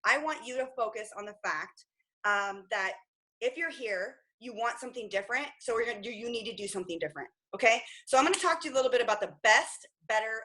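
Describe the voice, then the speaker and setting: A woman nutrition coach giving energetic, upbeat advice on a group call, often checking in with the listeners. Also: a little high, poor audio.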